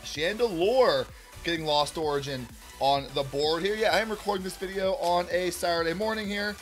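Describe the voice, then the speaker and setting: A man's voice: high-pitched, normal speed, soft background music. A man in his thirties hosting a card-opening video, upbeat and talking to viewers as "y'all" while losing his voice. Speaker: card-opening channel host. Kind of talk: excited card unboxing chat. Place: indoor room at a table.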